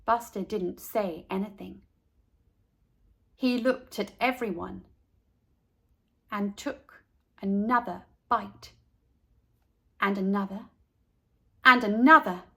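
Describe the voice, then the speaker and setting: A woman narrating a children's storybook expressively, with deliberate, dramatic pauses. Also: mid-range voice, vocal fry, sharp tone, husky. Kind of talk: expressive children's read-aloud.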